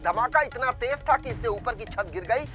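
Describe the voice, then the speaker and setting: A man's voice: very high voice, expressive, normal speed, sharp tone. A man reading a scripted news bulletin as anchor, formal and emphatic. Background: music bed.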